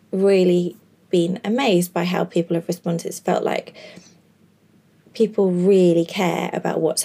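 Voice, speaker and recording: A woman's voice: lowish voice, gravelly, warm tone, husky. A woman in her thirties, hosting a podcast and musing earnestly in a chatty way full of fillers. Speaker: podcast host. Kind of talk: reflective monologue.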